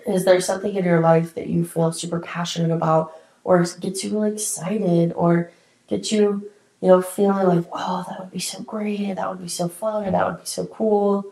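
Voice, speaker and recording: Woman host, candid and encouraging, slightly congested, low-ish voice, roomy echo. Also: little pitch movement, normal speed, gravelly, warm tone. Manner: candid, encouraging, casual swearing. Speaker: podcast host. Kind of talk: solo reflective podcast talk.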